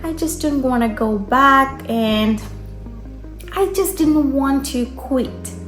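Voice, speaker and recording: Slow-talking young woman from Bangladesh. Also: high-pitched, expressive, fairly bright tone, reverberant, soft background music. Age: young adult. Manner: unhurried, conversational, with frequent fillers. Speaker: vlogger. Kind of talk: personal story vlog.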